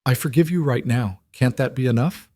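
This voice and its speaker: A man's voice: medium pitch, moderate pace, dark tone, dry recording, clean studio sound, pitched above the performer's own voice, lightly acted. A man narrating a story voices an upset, pleading seven-year-old girl, small and tearful. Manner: small, broken, tearful speech.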